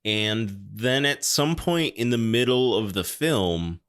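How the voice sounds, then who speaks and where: A man's voice: lowish voice, animated, slow pace, a bit bright, clean tone. A man hosting a podcast, talking casually about films in rambling, exasperated explanations. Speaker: podcast host. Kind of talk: casual film discussion.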